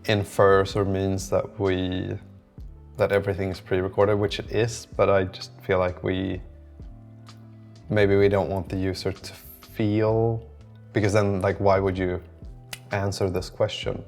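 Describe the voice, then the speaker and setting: A man talking casually and haltingly as he thinks aloud through his work in a vlog. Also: deep voice, flat delivery, a little mellow, soft background music. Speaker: app developer documenting his work.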